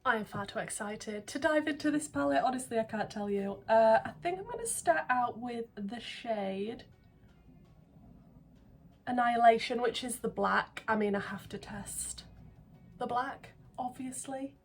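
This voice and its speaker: Chatty woman with a husky voice. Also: fairly high pitch, a little slow, soft background music. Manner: chatty and excitable, rambling with frequent ums, drops to near-whisper at times. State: getting over a cold. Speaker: makeup vlogger. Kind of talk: casual beauty vlog chat. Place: indoors at home, filming makeup at a mirror.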